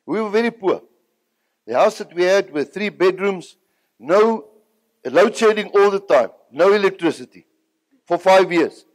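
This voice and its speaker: High-pitched older South African man preaching. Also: animated, measured pace, long pauses, no room sound. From Southern Africa, born in Zimbabwe, raised partly in South Africa. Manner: emphatic, repeats phrases for effect. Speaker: preacher giving a testimony. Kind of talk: sermon-like personal testimony, storytelling to an audience.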